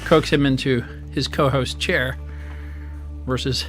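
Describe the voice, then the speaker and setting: A man's voice: medium pitch, steady tone, takes it slowly, fairly bright tone, faint music behind. Man with a weak, strained voice. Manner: chatty and rambling, with many fillers and restarts. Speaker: streamer and game host. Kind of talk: casual live-stream commentary while playing. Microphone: desk microphone on a boom arm.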